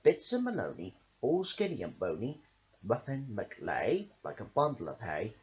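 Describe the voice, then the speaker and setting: Animated man reading a children's story. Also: medium pitch, a little slow. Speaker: amateur storybook reader. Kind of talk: rhythmic children's story read-aloud. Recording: dull lo-fi recording, faint noise.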